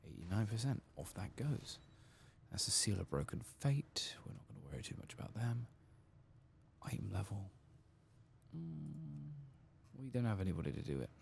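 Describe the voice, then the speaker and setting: Male ASMR game host: low-pitched, lilting soft murmur that slips into whispers, faint noise behind. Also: lively intonation, normal speed, creaky. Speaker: gaming channel host.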